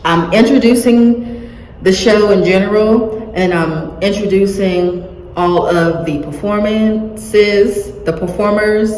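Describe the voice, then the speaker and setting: A woman chatting casually in a self-filmed vlog, rambling with many fillers, in a monotone and slightly scratchy voice. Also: lowish voice. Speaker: vlogger. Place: indoor room, cold. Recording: echoey room with long reverb, faint ambience.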